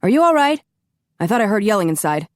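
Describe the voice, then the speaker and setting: A woman's voice: fairly high pitch, expressive, fast, dry recording, pitched above the performer's own voice, natural voice. A woman voicing a polite, dutiful soldier guard. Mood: concerned.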